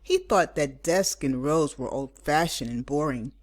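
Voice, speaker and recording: A woman with a low voice reads a picture book aloud in the manner of a teacher. She stresses key words deliberately and reads expressively. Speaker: teacher reading a children's story.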